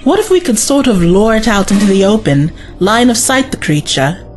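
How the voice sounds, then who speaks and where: A woman's voice: medium pitch, sing-song, moderate pace, soft background music, close to an everyday voice. A young woman playing a brave, caring female companion in a sci-fi audio drama, earnest and direct. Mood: curious, determined.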